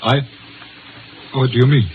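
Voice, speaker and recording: A man's voice: medium pitch, fairly even intonation, unhurried, slight background noise, close to an everyday voice. A man playing a stern, proud Chinese father with formal, solemn, commanding speech in a 1950s radio drama. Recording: muffled 1950s radio recording.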